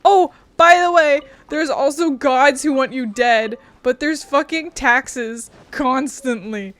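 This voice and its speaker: A woman's voice: sits high, takes it slowly, a bit bright, no echo. A woman giving casual let's-play commentary, profane, sardonic and rambling. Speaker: game streamer.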